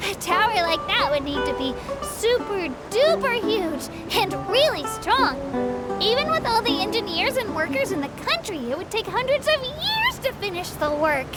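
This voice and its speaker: Casting: tiny cheerful game creature, a tour guide; very high, childlike, earnest and polite, lively intonation, music underneath. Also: female voice, normal speed. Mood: chatty, earnest. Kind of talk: scripted video game character dialogue, guided tour narration. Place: studio voice recording with music behind.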